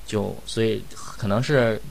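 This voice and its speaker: A man's voice: on the low side, fairly even intonation, unhurried, gravelly, poor audio. A man co-hosting a casual panel chat as a voice actor. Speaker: host and voice actor in an audio drama cast discussion.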